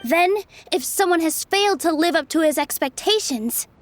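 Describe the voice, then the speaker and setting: A woman voicing a gentle young female dancer, laying out an argument calmly and carefully. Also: very high-pitched, normal speed, slight background noise. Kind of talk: video game voice acting.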